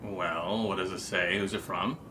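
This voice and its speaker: A man's voice: low-pitched, steady tone, moderate pace, bright, forceful tone, echoey room, slight background noise. A man acting a brash, upbeat, sweary young roommate in a naturalistic audio drama, breezy and cajoling. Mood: offhand.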